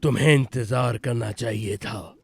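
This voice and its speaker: An actor playing a stern, disapproving mermaid king and father in an animated fairy tale, reproachful and authoritative. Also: mid-range voice, unhurried, vocal fry, slightly rough.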